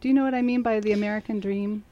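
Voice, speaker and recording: Woman, interview host, mellow voice, friendly, encouraging. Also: medium pitch, steady tone, racing, muffled recording. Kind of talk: community interview show.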